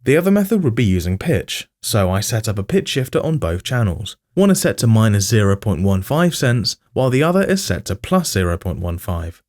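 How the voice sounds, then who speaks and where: Lively man narrating a tutorial. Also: fairly low pitch, a little fast, hardly any pauses. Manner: explanatory, informal, addresses viewer directly. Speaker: music production tutorial host.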